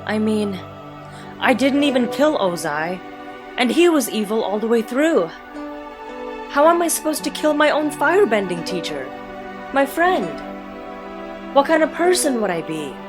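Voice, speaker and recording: A woman's voice: on the high side, sing-song, measured pace, pauses often, music in the background. A woman voicing an earnest young boy hero with a troubled conscience, pleading and sincere, in a comic dub. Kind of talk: animated-style comic dub acting.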